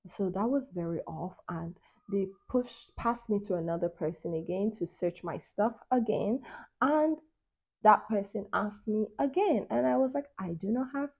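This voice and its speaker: A young woman from Nigeria recounts a trip in a loose, chatty vlog style, full of fillers and laughs. Her voice sits mid-pitch with a mellow, relaxed tone. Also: normal speed, gravelly. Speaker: travel vlogger. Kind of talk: casual storytelling to camera.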